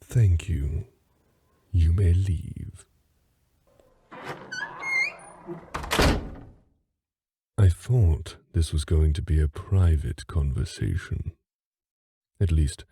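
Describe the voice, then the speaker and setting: A man's voice: very deep voice, expressive, takes it slowly, gravelly, warm tone, slightly rough, no echo, studio quality, exaggerated voice. A man playing a haughty, aristocratic fae father in an audio drama, speaking formally and with cold condescension. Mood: cold disdain. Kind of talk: dramatic audio roleplay acting.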